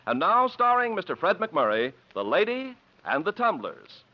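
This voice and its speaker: A male announcer from the golden age of radio presents a play with very wide, swooping intonation. His phrasing is deliberate and theatrical, and the recording is dull and old. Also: high-pitched, huge pitch swings, soft background music. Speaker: radio drama announcer and host. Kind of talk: 1950s scripted radio introduction.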